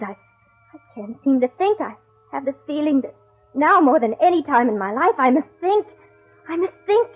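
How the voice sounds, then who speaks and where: A muffled vintage radio broadcast carries a bewildered, anguished woman. Her high, husky voice is halting and melodramatic, with faint music behind it. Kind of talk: old-time radio horror melodrama acting.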